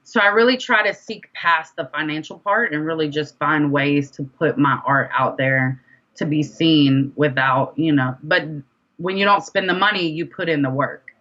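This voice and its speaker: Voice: medium pitch, moderate pace, sharp tone, dull audio. An independent rapper talking casually as an interview guest, chatty and informal, repeating phrases for emphasis.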